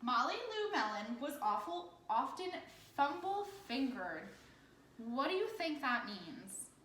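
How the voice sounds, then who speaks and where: A woman's voice: sits high, big pitch swings, slow, a bit bright. A woman teacher reading a picture book aloud interactively, speaking in simple phrases for children and asking prompting questions. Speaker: elementary teacher. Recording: echoey room with background noise.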